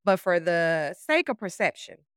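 A woman's voice: on the low side, sing-song, measured pace, fairly bright tone, clean tone, dry recording, clean studio sound. A woman co-hosting a podcast, talking candidly about work and acting out remembered exchanges. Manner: candid, storytelling with quoted dialogue. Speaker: podcast co-host. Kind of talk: casual conversation.

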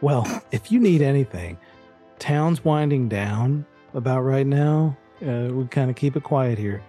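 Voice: mid-range voice, measured pace, warm tone, faint music behind, natural voice. A game master voicing a starstruck male small-town hotel desk clerk, eager to please and fawning, in improvised tabletop roleplay. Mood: eager, helpful.

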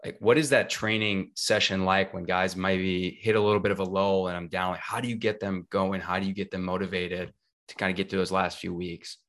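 Deep-voiced male panel host. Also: little pitch movement, brisk, a bit bright. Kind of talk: interview questions.

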